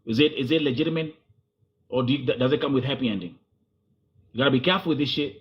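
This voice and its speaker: A man's voice: mid-range voice, fast, dull audio. A man with a Kenyan accent hosting a confrontational interview, insistent and often repeating phrases as he presses his point. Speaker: host.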